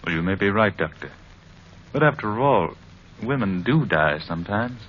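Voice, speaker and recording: Man acting earnest, low-voiced husband, muffled old radio. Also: expressive, slight background noise, natural voice. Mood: troubled, hesitant. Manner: measured, formal, sincere delivery. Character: earnest, troubled married advertising executive testifying about his marriage.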